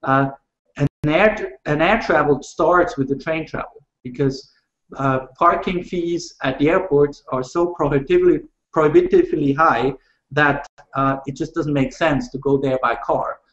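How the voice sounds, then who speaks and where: Rail exec on a video call, halting, hesitant delivery, roomy and dull audio. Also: male voice, fairly high pitch, steady tone, fairly bright tone. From Switzerland. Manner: measured, halting with frequent uh fillers and restarts. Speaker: rail industry panelist. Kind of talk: panel discussion over video call.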